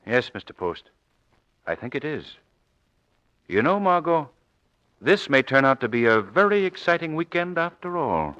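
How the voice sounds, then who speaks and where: A confident amateur sleuth in an old radio mystery. His voice is lively and crisp in edge, a little high, with an authoritative, decisive delivery. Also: moderate pace, long pauses, highs cut off. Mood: intrigued, wry. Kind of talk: 1940s radio acting.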